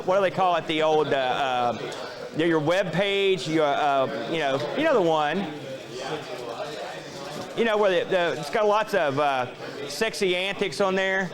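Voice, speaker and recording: A man's voice: on the high side, measured pace. A man hosting casual interviews at a convention, joking and stumbling over his words. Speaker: host interviewer. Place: busy convention hall. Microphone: handheld or lapel mic. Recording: loud crowd chatter behind. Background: crowd of attendees.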